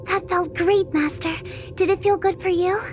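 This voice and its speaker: Woman playing a high, girlish, affectionate young companion. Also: normal speed, over music. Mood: adoring. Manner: lively, coaxing, reassuring. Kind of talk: audio drama character acting.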